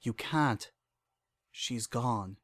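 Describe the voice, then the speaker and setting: A narrator voicing a sorrowful, world-weary pony father in a dramatic story, halting and hollow in delivery. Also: medium pitch, no echo. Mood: pained. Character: guilt-ridden, weary old time-traveling stallion father.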